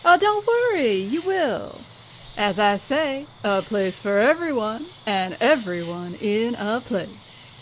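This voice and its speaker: Woman as a high-voiced squirrel innkeeper. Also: big pitch swings, takes it slowly, slight background noise, rough recording. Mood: breezy. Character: folksy, hospitable squirrel hotel keeper, briskly protective. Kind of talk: audio drama character acting.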